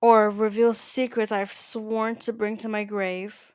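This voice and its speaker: A woman playing an older mafia boss in an ASMR roleplay, soft-spoken, possessive and quietly menacing, with a halting, intimate delivery. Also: medium pitch, level tone, smooth, dry recording, studio quality, lightly acted. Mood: solemn, commanding. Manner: halting, stammering, intimate address to the listener.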